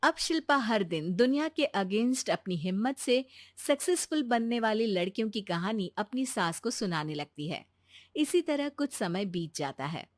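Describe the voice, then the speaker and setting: Calm woman storybook narrator. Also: mid-range voice, a little slow, dry recording, clean studio sound.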